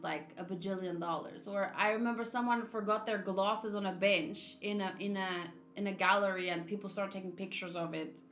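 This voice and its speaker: A woman game streamer giving casual commentary, musing aloud in a halting, conversational way. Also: mid-range voice, fairly bright tone. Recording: roomy sound over faint game music.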